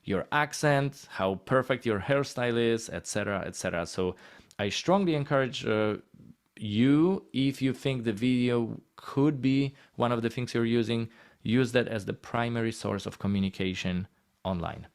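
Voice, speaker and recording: A man's voice: mid-range voice, normal speed, clean tone. A man with a Polish accent giving a casual, encouraging presentation in short conversational phrases. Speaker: guest speaker on healthcare marketing.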